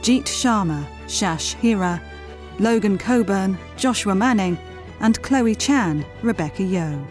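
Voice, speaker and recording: A woman's voice: mid-range voice, darkish tone. A woman announcer giving a scripted read of closing credits.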